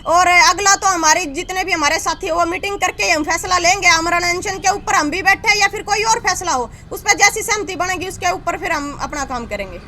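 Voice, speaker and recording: A woman's voice: extremely high pitch, a little fast, hardly any pauses, bright, forceful tone, slight background noise. A woman speaking as an activist spokesperson, giving a statement to reporters. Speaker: activist spokesperson at a press briefing.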